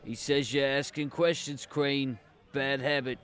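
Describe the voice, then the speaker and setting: An actor playing a menacing underworld heavy in a noir audio drama, speaking in curt, threatening phrases. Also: male voice, mid-range voice, flat delivery, a little slow, a bit bright, lightly acted.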